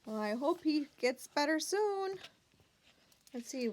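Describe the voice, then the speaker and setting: A woman reading a picture book aloud to young children, expressive and full of questions and asides to her listeners. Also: high voice, slow. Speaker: children's read-aloud storyteller.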